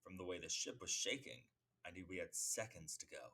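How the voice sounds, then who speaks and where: A man voicing a solemn, grieving superhero in a comic dub, speaking in a measured, formal, grave way. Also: sits low, lively intonation, creaky, pushed and bright, exaggerated voice. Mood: grave, haunted. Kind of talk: dramatic comic-book dub monologue.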